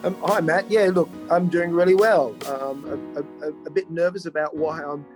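A man's voice: a little high, fairly even intonation, normal speed, music in the background. A man from rural South Australia with an Australian accent, a pastor telling his story as a podcast guest in a hesitant, self-deprecating, conversational way.

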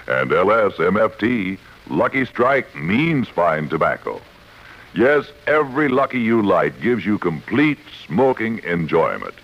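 A male announcer delivers a persuasive 1950s radio advertisement. His voice is fairly low and very expressive, and the old recording sounds dull. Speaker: commercial announcer.

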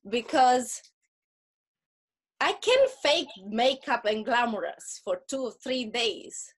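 A woman's voice: a little high, a bit bright. A woman with a Russian accent, a guest talking earnestly in a casual interview and often repeating words as she starts a point.

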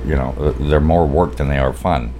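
Man, very deep voice, easygoing host, repeats words, faint noise. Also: steady tone, moderate pace, dark tone. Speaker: radio show host. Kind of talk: casual radio interview.

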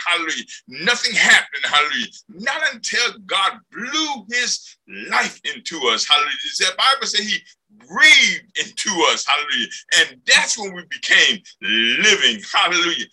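Husky preacher man, hallelujahs throughout. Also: high voice, rough recording. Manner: rhythmic, repeats hallelujah between phrases, swings loud and soft. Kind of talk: fervent preaching with frequent hallelujahs.

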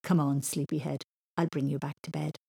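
Caring older girl for a storybook, read by a woman: creaky voice, affectionate, playful, gently teasing. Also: sits low, flat delivery, normal speed, no echo, clean studio sound, full character voice. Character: kind, teasing older girl looking after little boy. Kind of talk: children's story reading.

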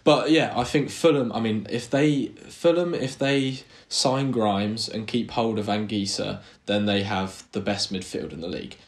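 A man's voice: lowish voice, measured pace, few pauses. A man co-hosting a podcast, chatting casually in an agreeable way and often restarting his sentences. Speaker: podcast co-host. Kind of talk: casual football chat.

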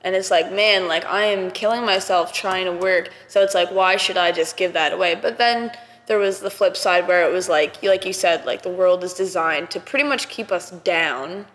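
A woman's voice: lowish voice, fairly even intonation, normal speed, fairly bright tone. A woman talking casually and thoughtfully in a podcast conversation, using "like" often. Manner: casual, thoughtful, many "like" fillers. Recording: reverberant room.

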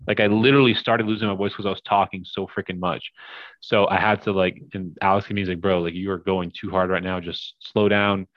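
A young man with a very low voice chats on a podcast, rambling and upbeat, full of "like" and "you know". The sound is dry and a little muffled, with no room around it. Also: little pitch movement, a little fast, dry recording. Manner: rambling, filler-heavy, upbeat. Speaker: podcast guest, crypto investor. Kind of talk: casual podcast chat.

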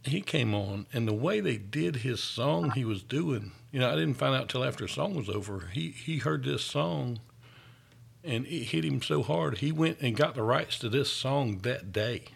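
A man's voice: medium pitch, expressive, moderate pace, gravelly, husky. A man who works as a paramedic, telling stories in an earnest, easygoing way during a casual chat. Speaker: paramedic, podcast host.

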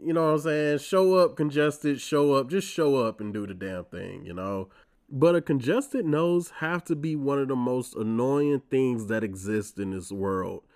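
A man's voice: medium pitch, animated, unhurried, darkish tone. A stuffy-nosed man in his twenties talks into a podcast mic, getting over a cold. He rants in a wry, casual, profane way as the host of his own show. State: congested from a cold. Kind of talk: casual solo monologue.

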